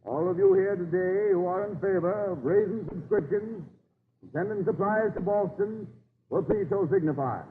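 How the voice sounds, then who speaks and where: A man's voice: high-pitched, normal speed, big-room sound, phone-quality sound, lightly acted. An actor playing a dignified Virginia statesman and father in a 1930s radio drama, speaking in a formal, oratorical way. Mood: formal, urgent. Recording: thin, noisy 1930s radio recording.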